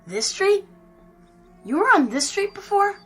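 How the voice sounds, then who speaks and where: A woman's voice: very high-pitched, very animated, measured pace, a bit bright, faint music behind, exaggerated voice. A woman playing a guarded young child with terse, blunt replies in a radio drama. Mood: skeptical, surprised.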